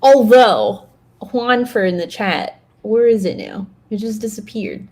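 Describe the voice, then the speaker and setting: A woman's voice: medium pitch, unhurried, a little mellow. A woman chatting casually as a podcast co-host, thinking aloud and correcting herself as she goes. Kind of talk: casual chat about fictional universes.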